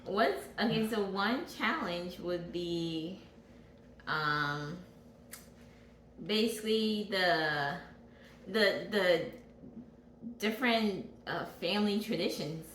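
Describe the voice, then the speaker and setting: Casting: woman, slightly low voice, hesitant casual vlog chat, roomy sound with some noise. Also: takes it slowly, pauses often, a bit bright. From Ghana. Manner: hesitant, searching for words, repeats herself. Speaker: co-host of a family vlog. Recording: roomy echo, clear background noise.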